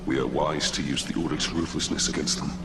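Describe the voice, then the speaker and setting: A man's voice: very deep voice, steady tone, a little fast, creaky, heavy background noise. An actor playing a grim, grieving male ranger in a video game, speaking in a grave, brooding way. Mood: grim, resolute. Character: grim, grieving human ranger bent on revenge. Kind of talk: dramatic video game acting. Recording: loud music behind.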